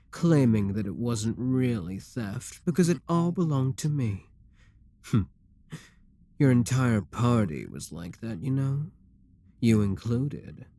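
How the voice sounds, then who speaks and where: A man's voice: mid-range voice, dark tone, no room sound. A man voicing a possessive, scheming male demon king in an audio roleplay, teasing and condescending.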